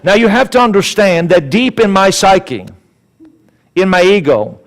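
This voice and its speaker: Swedish guy preaching, tells a story and acts out all the lines, kinda high voice. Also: unhurried. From Sweden. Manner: animated, acts out dialogue, repeats words. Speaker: speaker. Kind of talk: storytelling sermon.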